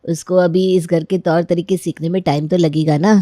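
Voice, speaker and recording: A woman puts on a genial middle-aged man visiting in a cartoon tale. The voice is mild, dark and somewhat low, with a dry recorded sound. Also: steady tone, moderate pace, no room sound, close to an everyday voice. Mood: conciliatory. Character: genial middle-aged male house guest. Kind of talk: cartoon moral-story voice acting.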